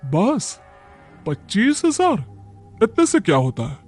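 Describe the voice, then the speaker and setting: A man playing an arrogant, money-minded suitor in his mid-thirties in an animated moral story, condescending and prying. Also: high voice, slow pace, soft background music, voice pushed up, heavily acted. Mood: disdainful.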